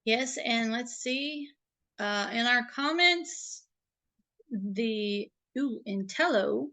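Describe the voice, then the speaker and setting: A woman's voice: fairly high pitch, animated, very slow, sharp tone. A woman co-hosting a casual livestream, chatty and informal, reading out introductions with playful asides. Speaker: co-host.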